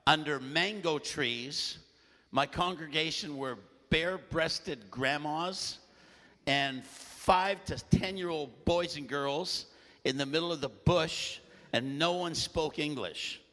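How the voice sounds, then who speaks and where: A man preaching a sermon with a loud voice and animated storytelling, often clearing his throat. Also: on the high side, long reverb tail.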